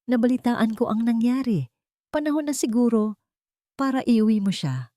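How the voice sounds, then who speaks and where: A gentle, motherly older woman, as a female narrator puts her on. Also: a little high, lively intonation, moderate pace, dry recording, studio quality, voice pushed up, natural voice. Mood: tender. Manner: soft, tender, motherly. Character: warm gentle older mother welcoming her son's love.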